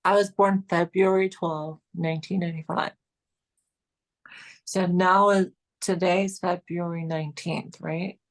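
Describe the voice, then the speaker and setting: A woman's voice: on the low side, steady tone, measured pace, rough recording. A Georgian woman in her late twenties chatting casually as a guest, rambling and saying "like" a lot. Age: 20s. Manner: rambling, filler-heavy, keeps saying "like". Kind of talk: casual chat.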